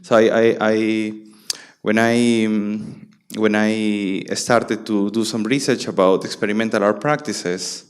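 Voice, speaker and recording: Male curator from Peru, late 30s, low smooth voice, halting panel talk. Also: steady tone. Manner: halting, many restarts and fillers. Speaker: guest curator. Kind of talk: panel conversation.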